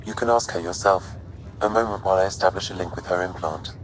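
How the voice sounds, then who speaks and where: A man voicing a calm, formal artificial intelligence in a video game, measured, precise and emotionless. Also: sits low, natural voice. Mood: calm, clinical. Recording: clear background noise.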